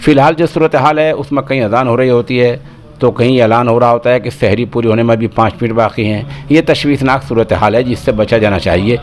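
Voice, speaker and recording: A man's voice: medium pitch, fairly even intonation, fairly quick. A man reading a scripted news report as presenter, in formal Urdu diction. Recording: clearly noisy recording with ambient sound.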